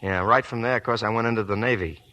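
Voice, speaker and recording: A shy young sailor. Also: male voice, on the low side, fast talker, pushed and bright, dull audio. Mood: timid. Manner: timid, hesitant, eager to please. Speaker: lead actor. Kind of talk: 1940s radio acting. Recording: old 1940s radio broadcast.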